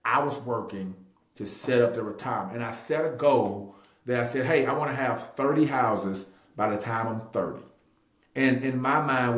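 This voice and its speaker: Male, 30, casual interview guest, emphatic storyteller who repeats key phrases, mid-pitched, roomy echo. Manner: emphatic, repeats phrases, acts out quoted dialogue. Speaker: real estate agent and investor being interviewed. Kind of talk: animated personal storytelling in casual talk. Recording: echoey room.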